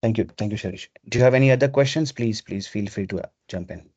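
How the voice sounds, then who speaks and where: A man's voice: lowish voice, quick pace, a little mellow, breathy edge, dry recording. Man presenting, dull video-call sound. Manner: step-by-step, instructional. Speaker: webinar presenter. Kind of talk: software walkthrough, explanatory. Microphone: video call microphone.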